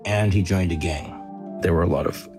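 A man working as a reporter, explaining a story in a measured, conversational news podcast style. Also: low voice, music in the background. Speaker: reporter telling an investigated story to a host.

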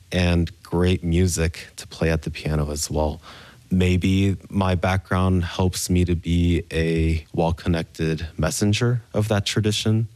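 A man from the southern United States giving thoughtful, measured and articulate interview answers as a musician. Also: low voice, a little mellow, no room sound. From Memphis, Tennessee, United States. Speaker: concert pianist being interviewed.

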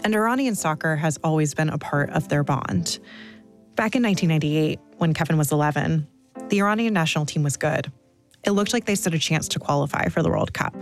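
A woman's voice: low voice, a little fast, faint music behind. A woman, narrating a scripted podcast story as host and reporter.

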